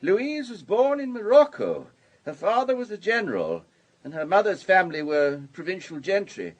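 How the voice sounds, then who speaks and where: A wealthy man in his sixties in a radio drama. His husky voice rises and falls animatedly as he holds forth, urbane and paternal, like one used to arranging other people's lives. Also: sits high, very animated, moderate pace, muffled recording. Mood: reflective, worldly. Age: 60s. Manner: urbane, discursive, worldly. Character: wealthy older businessman, urbane, paternal ex-husband.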